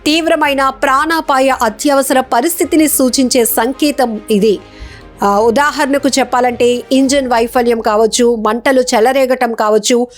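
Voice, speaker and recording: A woman presenting a scripted news explainer as a narrator. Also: high-pitched. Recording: music bed behind the voice.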